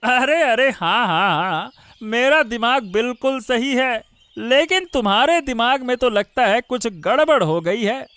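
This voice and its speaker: A man's voice: extremely high pitch, lively intonation, pushed and bright, clean tone, no room sound, exaggerated voice. A man voicing a sneering, meddlesome village minister in a Hindi cartoon moral story. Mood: mocking, taunting. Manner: taunting, drawn-out questioning tags. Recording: music bed under the voice.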